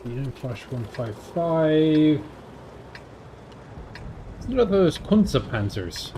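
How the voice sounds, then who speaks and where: A man's voice: a little high, very animated, extremely slow, dark tone. A man giving casual live commentary as he plays a video game, in a dry, wry, half-to-himself manner. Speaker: host of a video game stream. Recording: clear background engine and game noise. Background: video game tank engine and battle sounds.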